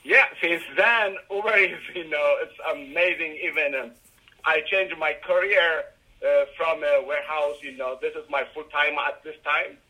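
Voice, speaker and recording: A middle-aged man from Ethiopia with an Ethiopian accent, answering interview questions haltingly with many fillers and restarts. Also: on the high side, steady tone, sharp tone, low-quality recording. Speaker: guest, rideshare driver.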